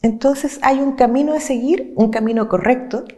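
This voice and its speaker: A woman from Chile, an activist speaking earnestly and with passion, laying out her points in measured, listed phrases. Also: sits high, dark tone. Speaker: social movement activist. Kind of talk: reflective interview talk.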